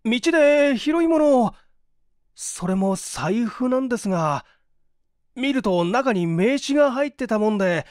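A woman's voice: fairly high pitch, animated, a little slow, darkish tone, dry recording, studio quality, pitched above the performer's own voice. A timid, down-on-his-luck man, played by a woman reader in a storybook drama. He speaks politely and hesitantly, easily flustered. His voice is raised and unsure.

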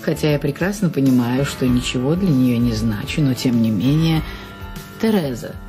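A woman's voice: rumbling low, moderate pace. A woman acting a polite but insistent, wounded sister-in-law in a radio play. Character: Thérèse, persistent, wounded sister-in-law paying a visit. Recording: music bed under the voice.

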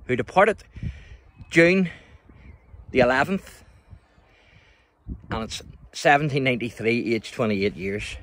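A man with a Northern Irish accent giving a chatty, informal walking tour as a local history guide, speaking outdoors into a handheld phone. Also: on the low side. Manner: chatty, addresses the viewer with tag questions. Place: outdoors in a churchyard by the sea. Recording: outdoor phone recording with background noise.

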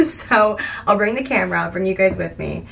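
A woman's voice: medium pitch, brisk, gravelly, fairly bright tone, slight background noise. A young adult woman talking casually and informally to her vlog audience in slangy everyday speech. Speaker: lifestyle vlogger. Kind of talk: casual chatty vlog talk. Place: indoors at home and in a house.

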